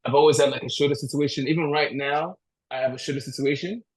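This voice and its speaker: A man's voice: on the high side, fairly even intonation, quick pace. Easygoing man chatting on a podcast. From Nigeria. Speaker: powerlifter, podcast guest. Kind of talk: casual interview chat. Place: indoor room at home, video call. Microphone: video call, device at a short distance.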